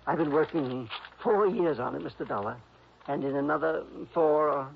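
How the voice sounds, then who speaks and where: A dull old radio recording of a sweet, eccentric old male composer. His voice sits rather high and has some creak. He rambles dreamily and hesitates as he goes, in 1960s radio drama style. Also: moderate pace, slight background noise. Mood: innocent, serene. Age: older. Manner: rambling, fluttery, hesitant, chuckling.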